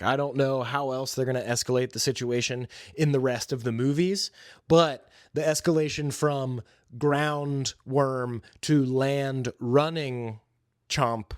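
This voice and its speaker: Slow-paced man, casual podcast host. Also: medium pitch, dry recording, studio quality. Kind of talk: casual solo podcast chat about films and video games.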